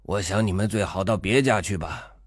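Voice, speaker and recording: A man reading a story aloud, voicing a very old waiter who refuses service calmly and stubbornly. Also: sits low, moderate pace, dry recording, studio quality, soft background music, pitched above the performer's own voice. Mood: curt. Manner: calm, flat refusals. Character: old stolid male waiter, calm and stubborn.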